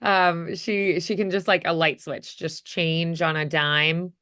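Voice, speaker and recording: A woman gives casual one-on-one voice acting coaching. Her voice is bright and smooth, a touch low, and she takes her time, thinking aloud and gently encouraging. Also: steady tone, takes it slowly.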